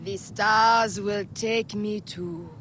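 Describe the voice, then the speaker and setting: An actress playing a solemn, mystical woman in a video game, with portentous, drawn-out phrasing. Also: medium pitch, fairly even intonation, over music, low-quality recording. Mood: wistful, solemn. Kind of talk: dramatic video game acting.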